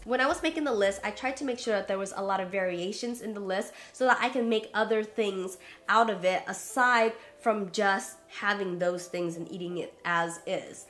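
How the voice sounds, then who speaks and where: A woman's voice: medium pitch, fairly bright tone. A young adult woman vlogging to camera in a chatty, rambling, upbeat way, her voice nasal and stuffed up from a cold. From USA. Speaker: YouTuber. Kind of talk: casual vlog talk to camera.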